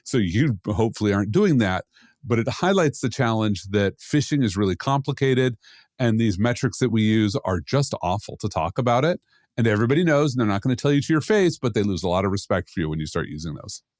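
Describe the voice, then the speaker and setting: A man's voice: on the low side, expressive, fairly quick, a little mellow, dry recording, studio quality. A man co-hosting a podcast, giving casual, opinionated commentary in a blunt, emphatic way. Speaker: podcast co-host, security expert.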